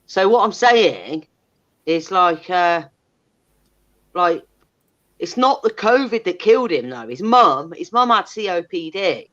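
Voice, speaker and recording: A woman's voice: fairly low pitch, expressive, takes it slowly, long pauses, a bit bright, muffled recording. A woman, chatting candidly in a blunt, sweary, emphatic way. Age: 30s. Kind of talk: candid casual chat.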